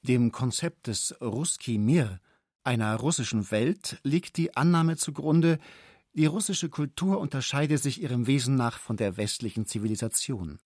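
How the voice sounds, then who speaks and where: A man's voice: medium pitch, darkish tone, dry recording, clean studio sound. A man reading scripted German-market documentary narration as the narrator.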